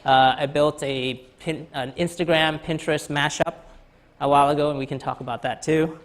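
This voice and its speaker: Man giving a halting conference talk. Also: fairly high pitch, steady tone. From Thailand. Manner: halting, many uh fillers and restarts. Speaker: guest speaker. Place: lecture hall.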